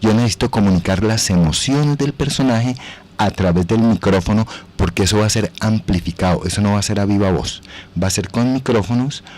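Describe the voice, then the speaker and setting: Low-voiced Colombian man, deliberate and emphatic. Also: a little mellow. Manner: deliberate, emphatic, with rhetorical questions and dropped asides. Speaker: guest, radio and theatre actor. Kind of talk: radio interview, animated explaining.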